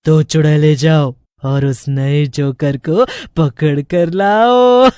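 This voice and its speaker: A menacing witch from a horror storybook. She drags her words slowly and mockingly, breaking into cackles. The sound is dry and close. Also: deep voice, dark tone, smooth, no echo. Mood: mocking, gloating.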